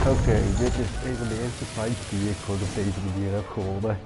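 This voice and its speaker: A man's voice: on the low side, rough recording. A man casually commenting as he plays a video game. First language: Dutch. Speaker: gamer, streamer. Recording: loud game music behind. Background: loud game music and effects.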